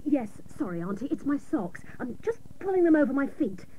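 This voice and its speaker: An actress playing a hapless, literal-minded female private detective, narrating a comic radio serial with mock-dramatic seriousness and deadpan jokes. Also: high voice, brisk. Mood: earnest, clueless. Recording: noisy old cassette of radio broadcast.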